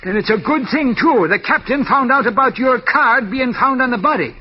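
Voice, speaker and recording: An actor playing a folksy, eager police lieutenant who blusters and stammers in a 1940s-style radio mystery. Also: male voice, very high-pitched, normal speed. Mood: blustery, confident. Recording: muffled, noisy old radio recording.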